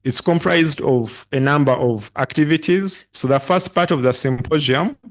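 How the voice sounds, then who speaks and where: A man, a doctor, explaining event plans over a video call in a halting, explanatory way with fillers. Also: medium pitch. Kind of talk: briefing on an event.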